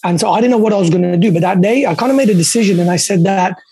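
Husky, fast-talking man. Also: sits high, dark tone. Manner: quick, earnest, repeats words. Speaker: podcast guest, dentist and coach. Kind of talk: candid storytelling.

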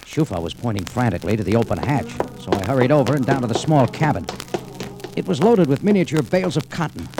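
An actor playing a hardboiled American adventurer, narrating and talking tersely and wryly in 1940s radio noir style. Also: male voice, mid-range voice, animated, fast, over loud music, lightly acted. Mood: wry narration. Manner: terse, world-weary, wry.

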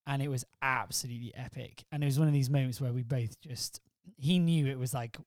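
A man's voice: medium pitch, steady tone, fairly quick, a little mellow, no room sound, clean studio sound. A middle-aged man from Wales who says he doesn't sound Welsh, chatting as a guest on his wife's podcast, joking and teasing as he tells stories. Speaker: podcast guest, host's husband. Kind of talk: casual chat.

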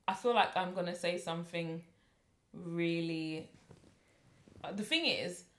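A woman co-hosting a casual podcast chat in a loud, animated way, laughing often. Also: lowish voice, slow pace, echoey room. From London, UK. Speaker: podcast co-host.

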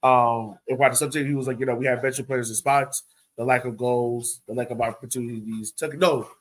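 A man's voice: medium pitch, steady tone, fairly quick, a bit bright. A man co-hosting a sports podcast, talking casually and emphatically, pressing his point by repeating it. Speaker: podcast host.

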